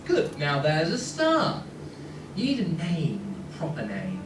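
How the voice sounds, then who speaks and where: A man playing a gentle, eccentric time traveller in a fan radio play, stammering, whimsical and reassuring. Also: on the high side, wildly swooping pitch, unhurried, noisy background. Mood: playful, kindly. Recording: roomy, distant, music behind.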